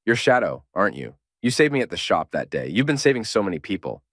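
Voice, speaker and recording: A loyal, stubborn teenage boy, voiced by a man in a fan audiobook, his low voice rising and falling widely. He presses his questions insistently. The recording is dry and close. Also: sing-song, quick pace, fairly bright tone, no room sound, exaggerated voice. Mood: confronting. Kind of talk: podfic voice acting.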